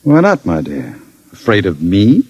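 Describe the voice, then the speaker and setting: An actor playing a suave, scheming criminal, mock-polite and insinuating, in an old-time radio drama. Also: male voice, low voice, wildly swooping pitch, unhurried, darkish tone, slightly rough, muffled recording, exaggerated voice. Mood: suave, coaxing. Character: suave, scheming male criminal, coolly sinister manipulator.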